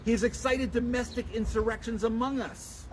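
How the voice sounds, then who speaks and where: High-pitched man declaiming solemnly outdoors. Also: steady tone. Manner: solemn, declamatory, deliberate phrasing. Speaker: public reader and livestream host. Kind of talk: dramatic reading of a historical document. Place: outdoors at a town memorial. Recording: noisy outdoor livestream, traffic and street sounds.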